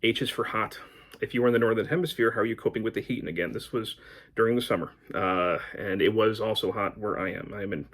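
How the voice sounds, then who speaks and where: A middle-aged American man talks casually to his viewers about books. His voice sits on the low side with a husky edge. He rambles along in a conversational, unscripted way. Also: quick pace, fairly bright tone. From United States. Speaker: book vlogger. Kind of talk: casual chat to camera, answering book prompts.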